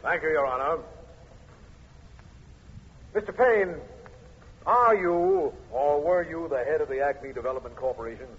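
A formal, earnest American district attorney with a slightly high, very animated voice. He stresses his words and exasperates easily, as in vintage radio drama, on a dull recording. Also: a little slow, pauses often, husky, heavily acted. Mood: formal, firm. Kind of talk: 1950s radio crime drama acting. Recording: muffled old radio, faint noise.

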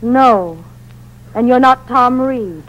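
A woman's voice: on the high side, animated, slow pace, fairly bright tone. An actress playing a bold, suspicious young woman in a 1940s radio thriller, speaking in direct, clipped phrases. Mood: accusing, defiant. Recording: dull old radio recording.

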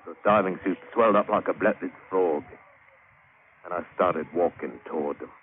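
A man's voice: sits low, normal speed, close to an everyday voice. British sailor played by a man on tinny old radio. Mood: suspenseful, wry. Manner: colloquial, dramatic storytelling. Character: plain-spoken British sailor spinning a wry adventure yarn. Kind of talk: 1950s radio drama acting, first-person narration. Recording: thin, hissy old radio broadcast.

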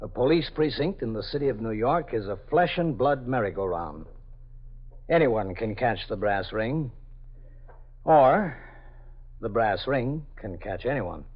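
Commanding police captain, clipped, even-pitched, dull old radio sound. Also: male voice, unhurried, slightly rough. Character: police captain, steady and authoritative. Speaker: captain and narrator. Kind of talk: 1950s radio drama acting. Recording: lo-fi 1950s radio recording.

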